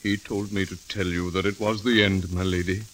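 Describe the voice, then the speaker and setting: A kindly priest in a 1930s radio drama. His voice is very low, gentle and solemn, with faint hiss on the recording. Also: flat delivery, a little fast, low-quality recording. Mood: solemn, reluctant. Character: kindly, reluctant priest keeping a promise to a lady. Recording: hissy 1930s radio broadcast.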